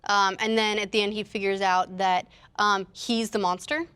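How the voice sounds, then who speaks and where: Woman, average pitch, casual discussion, explanatory, informal panelist. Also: little pitch movement, normal speed, sharp tone, clear, resonant tone. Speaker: panelist on a video game and movie discussion show. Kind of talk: casual chat.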